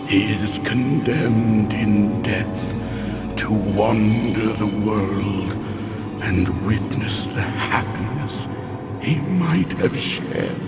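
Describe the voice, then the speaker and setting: Radio drama casting: anguished dead partner's ghost, extremely slow and portentous, music swelling loudly beneath. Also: male voice, medium pitch. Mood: mournful, solemn. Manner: slow, halting, portentous, drawn-out delivery. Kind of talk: theatrical radio-play acting. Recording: music under the voice.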